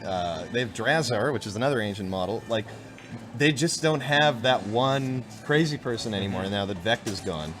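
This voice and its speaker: A man's voice: on the low side, expressive, moderate pace, fairly bright tone. A man co-hosting a gaming show, talking casually and rambling with lots of fillers. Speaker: podcast co-host.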